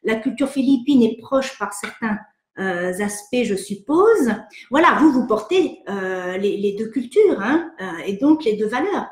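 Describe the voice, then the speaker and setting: A woman psychologist from France hosting an online session, answering viewer questions in a hesitant, gentle way with many fillers and restarts. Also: on the high side, very animated, a little slow, echoey room. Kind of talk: live webinar, reading and answering viewer questions.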